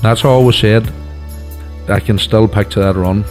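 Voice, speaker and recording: A man proudly recalling a rally win in an emphatic, slow storytelling manner. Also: fairly low pitch, fairly even intonation, a little mellow. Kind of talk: reminiscing interview clip. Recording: interview clip with music underneath.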